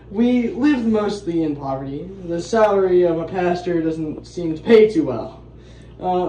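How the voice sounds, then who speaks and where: A man playing an earnest, self-pitying young Swiss doctor in an amateur comedy film, formal and deliberate. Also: on the high side, warm tone. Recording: echoey room, faint noise and chatter.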